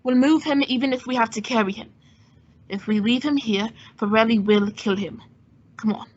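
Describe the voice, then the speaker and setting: A woman playing a cool, quick-witted male adventurer and sleuth in an old-time radio drama. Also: on the high side, close to an everyday voice. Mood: decisive. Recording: dull lo-fi audio.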